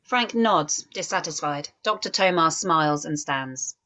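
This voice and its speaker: A woman narrating stage directions in a script table read, measured and descriptive. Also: low voice, animated, sharp tone.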